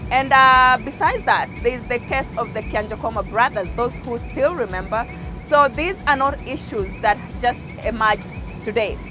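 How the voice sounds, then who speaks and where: A woman hosts a television talk segment, speaking in a clear, announcing way. Her voice sits on the high side. Music plays clearly behind her. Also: moderate pace. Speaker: show host. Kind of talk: broadcast presenting.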